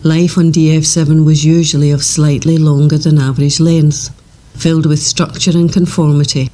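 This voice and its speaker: Calm, flat-voiced male narrator. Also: a little high. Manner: steady, unhurried reading with wry delivery. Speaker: narrator reading a submitted manuscript. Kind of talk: measured, dry sci-fi comic narration.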